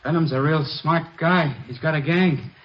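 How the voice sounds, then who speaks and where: A man's voice: a little high, fairly even intonation, moderate pace, natural voice. An actor playing a young, desperate, vengeful gunman in a radio crime drama, agitated and accusing. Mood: bitter, desperate. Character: bitter, double-crossed young male gunman, desperate and vengeful. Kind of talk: 1950s radio drama acting. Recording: dull old radio recording, faint noise.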